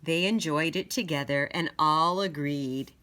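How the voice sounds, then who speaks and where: A woman teaching young children, reading aloud and sounding out letters and words deliberately. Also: deep voice, sharp tone. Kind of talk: storybook read-aloud and phonics lesson.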